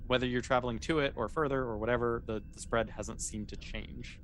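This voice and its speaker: A man's voice: medium pitch, steady tone, brisk, a bit bright, slight background noise. A man acting as game master, narrating a tabletop sci-fi roleplaying game in a casual, conversational, explanatory way.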